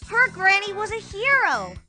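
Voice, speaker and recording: A woman's voice: extremely high pitch, huge pitch swings, moderate pace, sharp tone, faint music behind. A woman narrating a scripted children's story in a dramatic storytelling manner.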